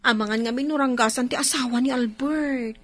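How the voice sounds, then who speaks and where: A woman with an Ilocano accent playing a chatty, sassy household helper in a radio drama, expressive and full of interjections. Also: high-pitched, fairly quick. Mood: curious, cautioning.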